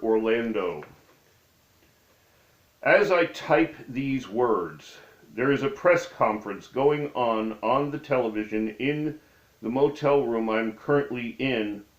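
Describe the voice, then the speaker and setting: A man reading aloud from his own memoir as its author, with deliberate, halting phrasing. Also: medium pitch. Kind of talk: read-aloud memoir narration. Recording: dull, echoey room recording.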